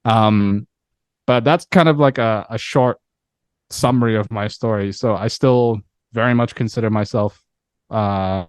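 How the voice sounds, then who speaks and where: A man from Myanmar in his thirties with a Scottish accent, talking through his life story as an interview guest, relaxed and reflective with many repeated words. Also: fairly low pitch, a little slow, clear, resonant tone, dry recording. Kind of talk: casual interview chat.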